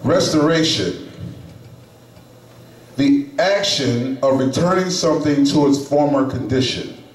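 Middle-aged male lay preacher, slow deliberate delivery, roomy chapel sound from a distant camera. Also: medium pitch. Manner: slow, emphatic preaching with pauses. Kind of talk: sermon. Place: small chapel, indoors. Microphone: handheld microphone. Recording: echoey room, camera at a distance.

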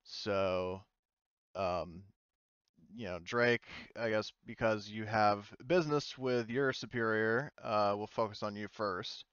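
A man running a tabletop roleplaying game as game master, narrating informally and haltingly and speaking for characters in his own voice. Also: on the low side, a bit bright, clean tone, no echo, lo-fi sound.

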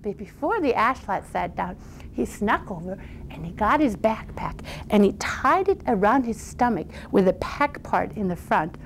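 A woman storyteller telling a fairy tale aloud in an informal, conversational and animated way. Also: mid-range voice, normal speed, gravelly, a little hiss or hum, low-quality recording.

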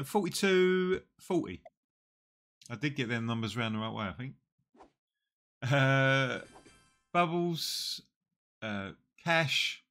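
Slow, nasal man muttering gameplay. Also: medium pitch, a bit bright. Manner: slow, muttering play-by-play, often trailing off. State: nasal from a cold. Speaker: gaming channel host. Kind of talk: casual mobile game commentary. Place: small room indoors. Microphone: headset.

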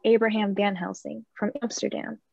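A woman playing a self-assured young married gentleman with a husky, creaky voice in a radio play. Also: medium pitch, fairly even intonation, normal speed, no room sound. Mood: inquisitive. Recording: dry, slightly dull sound.

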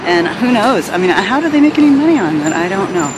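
A woman's voice: medium pitch, sing-song, fast. A woman filming herself on a city sidewalk, chatting unhurriedly about what she passes, often laughing. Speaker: vlogger walking the city. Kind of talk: casual walking vlog narration. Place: outdoors on a busy city sidewalk. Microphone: handheld camera. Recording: loud street traffic noise.

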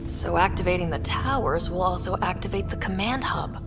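Actress as young female explorer, lowish voice, wry, plain-spoken, determined, sci-fi game, music behind. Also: normal speed, lightly acted. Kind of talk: scripted game dialogue.

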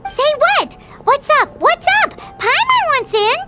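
A woman voicing a tiny, excitable, childlike fairy girl companion in a video game, squeaky and curious. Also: unhurried, over loud music, exaggerated voice. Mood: excited, curious. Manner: squeaky, exclaiming, refers to self in third person.

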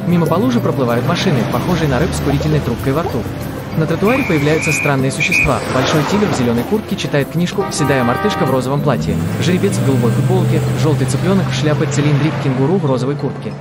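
Audio description narrator, scripted cartoon narration, middle pitch, loud music behind. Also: animated, fast. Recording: music under the voice. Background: prominent soundtrack music.

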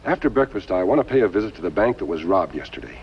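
A masked western hero, speaks in a very deep, firm voice. His delivery is deliberate and upright. The soundtrack is old television film, dull and noisy. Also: lively intonation. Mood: resolute. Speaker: lead actor in a western series. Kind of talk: 1950s television western acting.

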